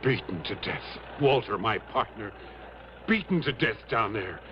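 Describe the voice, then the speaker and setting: An actor playing a distraught rustic miner in an old radio drama, halting and repeating himself in folksy, uneducated grammar. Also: male voice, on the low side, lively intonation. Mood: anguished, accusing. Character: distraught rustic gold miner who found his partner murdered. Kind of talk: 1950s-60s radio drama acting. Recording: muffled old radio broadcast, faint noise.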